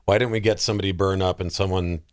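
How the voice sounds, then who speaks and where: A man on a podcast panel chatting casually about movies in an animated, jokey way. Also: low-pitched, fast, clean tone.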